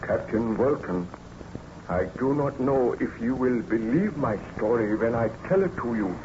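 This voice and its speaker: A man's voice: medium pitch, expressive, measured pace, lightly acted. A man playing a tormented, guilt-ridden scientist nobleman in formal, theatrical old-time radio drama style. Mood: grave, confiding. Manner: grave, melodramatic, formal theatrical diction. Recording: dull, noisy old radio recording.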